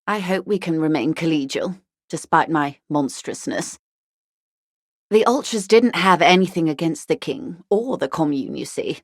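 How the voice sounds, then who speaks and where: An actress playing a refined, worldly female negotiator in a video game, with polished, measured, wryly courteous delivery and quiet menace. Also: low voice, no echo, clean studio sound, exaggerated voice. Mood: courteously ironic. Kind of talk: video game character dialogue.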